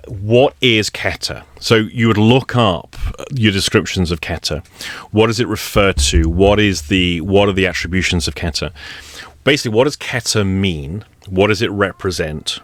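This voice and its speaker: A man giving relaxed spoken instruction on a meditation practice, explaining it step by step in a wry, conversational way. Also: sits low, no echo. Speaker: meditation teacher.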